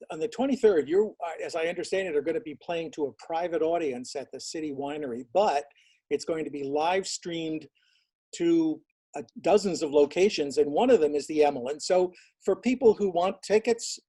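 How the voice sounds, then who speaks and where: Breathy, mellow-voiced male interviewer. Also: a little high, normal speed. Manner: brief prompts and short affirmations. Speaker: interviewer host. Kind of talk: casual interview questions.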